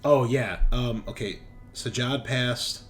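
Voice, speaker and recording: A man's voice: medium pitch, takes it slowly, a bit bright. Casual man running a tabletop game over music. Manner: casual, profane banter. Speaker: game master. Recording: music behind the voice. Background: background music.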